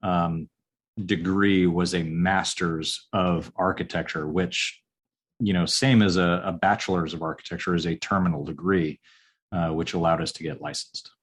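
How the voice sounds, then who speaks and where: A man in his forties, an architect, talking about his schooling in a relaxed, halting way with many pauses and restarts. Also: low-pitched, husky. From upstate New York. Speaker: architect, podcast guest. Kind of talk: casual interview answers.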